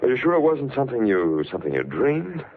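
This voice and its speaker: An actor playing a level-headed, skeptical man with a measured, doubting manner, in 1940s radio mystery style. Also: medium pitch, wildly swooping pitch. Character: skeptical, level-headed male listener questioning a troubled man. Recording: muffled vintage radio recording.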